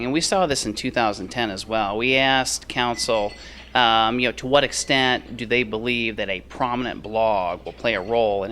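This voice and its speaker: A man's voice: medium pitch, bright, forceful tone, slight background noise. A man speaking as an expert interview guest at a conference, explaining findings in his answers. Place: on location at a conference.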